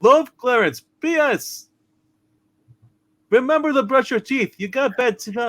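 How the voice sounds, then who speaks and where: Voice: sits high, expressive, a little slow, fairly bright tone. A performer playing a boisterous, jokey small-town character in a hammed-up amateur radio play reading, with a clownish, exclamatory manner. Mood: mock-solemn.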